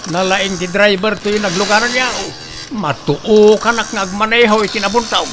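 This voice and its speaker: A man's voice: high voice, steady tone, normal speed, music in the background, natural voice. A man with an Ilocano Filipino accent acting a boastful, health-obsessed, nagging but cheerful old husband in a comedy drama. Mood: boastful, amused.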